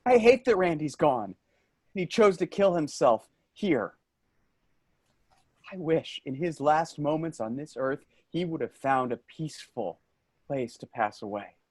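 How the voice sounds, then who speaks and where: A man's voice: fairly high pitch, lively intonation, a little slow, slightly rough, no echo. A man acting in a play reading as an earnest, fed-up male office worker, grieving and sardonic, with an emotional delivery. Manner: emotional, swinging from shouting to quiet grief. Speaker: actor in a staged reading.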